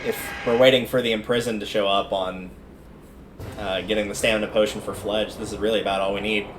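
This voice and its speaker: A man's voice: lowish voice, flat delivery, a little fast, background noise. A man livestreaming a video game, giving casual commentary and thinking aloud in a conversational way as he plays. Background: video game music.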